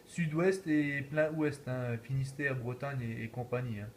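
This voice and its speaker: A man's voice: medium pitch, fairly even intonation, measured pace. A man chatting casually as an amateur radio operator, often ending his phrases with little tag words. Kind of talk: casual radio contact chat.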